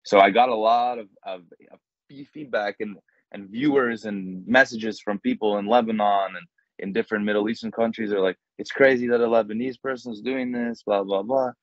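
A young man from Canada, a guest on a video call, telling stories in a relaxed, chatty way. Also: on the low side, normal speed, no room sound. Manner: relaxed and chatty, acts out quoted exchanges. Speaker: guest, content creator. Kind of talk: casual storytelling in an interview. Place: indoors, small plain room. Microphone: earbuds on a video call. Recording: dull, dry video call audio.

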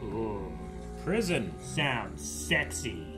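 A man hosting a reaction show with casual, joking, animated banter. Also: fairly low pitch, extremely slow. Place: small studio room, seated at a table. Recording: loud show audio and music underneath.

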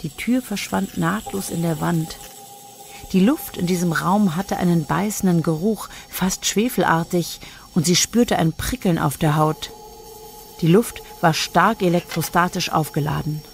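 An actress narrating a science fiction radio drama in a scripted storytelling style. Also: female voice, on the low side, a little slow, gravelly, a little hiss or hum. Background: music.

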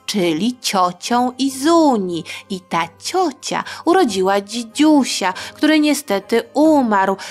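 A woman telling history to young listeners in a chatty, playful storytelling style, speaking directly to her audience. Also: a little high.

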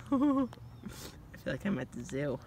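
A teenage boy vlogging on a walk down a quiet street, joking and rambling into a phone held at arm's length. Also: a little high, big pitch swings, takes it slowly, slight background noise. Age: teens. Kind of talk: goofy walking vlog.

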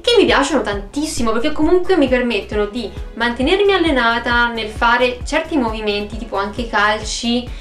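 A woman talks in a fairly high voice, chatty and expressive. She sounds like a vlogger addressing the camera casually from home. The room rings noticeably around her, and some music plays behind. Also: moderate pace, hardly any pauses. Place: small room at home, indoors. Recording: roomy, echoey home recording.

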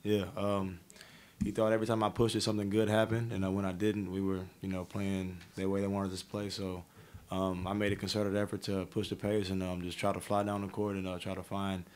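A young man who plays college basketball answers reporters at a press conference. His voice is smooth and resonant and sits fairly low, and his easygoing delivery sounds relaxed. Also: a little fast. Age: young adult. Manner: laid-back, easygoing, chuckling.

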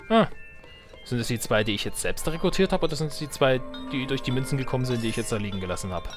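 A man's voice: mid-range voice, animated, fast. A man giving casual let's play commentary, muttering and thinking aloud to himself. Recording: game music behind the voice. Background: video game music.

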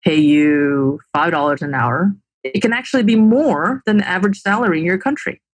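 Husky woman with a New Jersey accent. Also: on the low side, brisk, dry recording. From New Jersey, USA. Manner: confident, explains with many tag questions. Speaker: podcast guest, tech founder. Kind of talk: casual interview chat. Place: indoor room at home. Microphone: video call.

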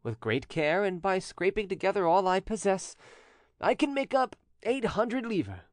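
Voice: medium pitch, sing-song, unhurried, dry recording, clean studio sound, pitched above the performer's own voice. A narrator voicing a proud, scheming male French notary who feigns innocence, in an audiobook story. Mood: exclaiming.

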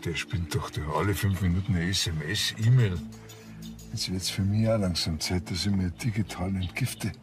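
A male actor plays a sceptical small-town policeman with a Bavarian accent. His voice sits fairly low and he grumbles drily, as in a TV crime comedy. Music plays clearly behind him. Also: moderate pace, close to an everyday voice. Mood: indignant.

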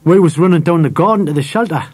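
A man voicing an angry, scornful working-class father in a storybook, gruff and scolding with colloquial swearing. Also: on the high side, fast talker, darkish tone, dry recording, pitched above the performer's own voice. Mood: explaining.

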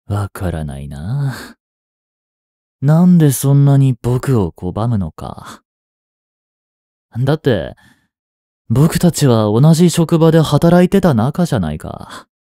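A man in his twenties playing an obsessive, jealous young stalker in an intimate voice drama, coaxing softly with long pauses. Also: medium pitch, no room sound, clean studio sound. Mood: coaxing, wounded. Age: 20s. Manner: soft, coaxing, brooding delivery with drawn-out pauses. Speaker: voice actor. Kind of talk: intimate Japanese voice drama, addressed to the listener.

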